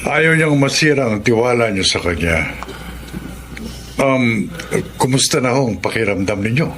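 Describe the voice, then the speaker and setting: An actor playing an earnest, discreet lawyer in a Filipino radio drama, speaking in a measured and polite way. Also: male voice, mid-range voice, animated, a bit bright, slight background noise, lightly acted. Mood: respectful, reassuring. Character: earnest, discreet male attorney, loyal and respectful.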